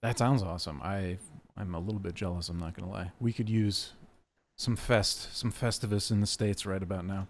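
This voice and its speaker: A young man hosting a podcast from his webcam setup at home. He talks in a chatty, joking way, rambling with fillers, and his voice sits a little low. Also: steady tone, normal speed, slight background noise. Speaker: podcast host. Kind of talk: casual interview chat.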